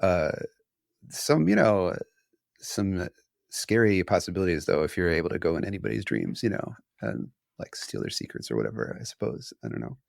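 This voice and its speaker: A man's voice: sits low, sing-song, moderate pace, creaky, darkish tone, no echo, studio quality. A man co-hosting a podcast, talking casually in a rambling, hesitant way with lots of fillers. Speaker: podcast co-host. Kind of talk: casual conversation.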